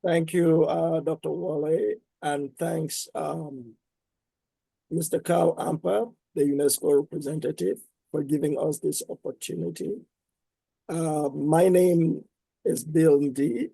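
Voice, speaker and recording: A man speaks slowly and deliberately, with a gravelly, husky and mellow tone. The sound is dry and close. He introduces himself formally as a professor on a panel. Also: on the high side, steady tone, no room sound, low-quality recording. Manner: slow, measured, with pauses.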